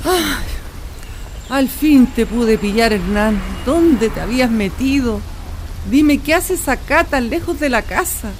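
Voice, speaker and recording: A woman voicing an older wife caring for her husband, gentle and coaxing, in a community radio drama. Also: on the high side, animated, slow pace, a little hiss or hum. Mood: tender.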